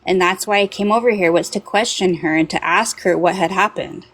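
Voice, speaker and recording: A woman's voice: lowish voice, a little fast, fairly bright tone. A woman, a mother, speaking earnestly on a livestream as a guest, often hesitating and repeating words. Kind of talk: casual livestream talk.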